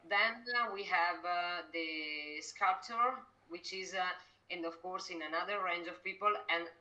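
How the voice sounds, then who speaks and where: A woman with an Italian accent answering interview questions conversationally, haltingly searching for words as a non-native speaker. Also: on the low side, bright, forceful tone, muffled recording. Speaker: company representative being interviewed.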